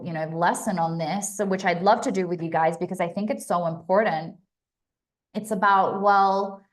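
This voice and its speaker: A young woman from Australia with a mixed Australian and American accent, chatting upbeat with viewers on her live stream, full of fillers and restarts. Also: medium pitch.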